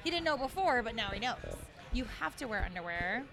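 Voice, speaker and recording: A woman's voice: on the high side, sing-song, fairly quick, music in the background. A woman co-hosting a casual chat, earnestly explaining a point. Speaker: podcast co-host.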